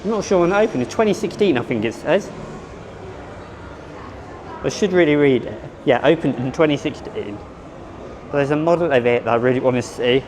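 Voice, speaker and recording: A man vlogging at a theme park, rambling and unsure of himself, hoarse as he is losing his voice. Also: mid-range voice, animated, brisk, pauses often, a little mellow, slight background noise. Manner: rambling, self-correcting, unsure. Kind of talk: casual vlog commentary.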